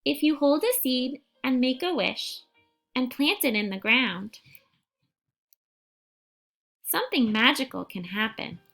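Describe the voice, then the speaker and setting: A woman reading a picture book aloud for young children and giving gentle instructions, phrasing things deliberately and carefully. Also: sits high, animated, vocal fry, faint music behind.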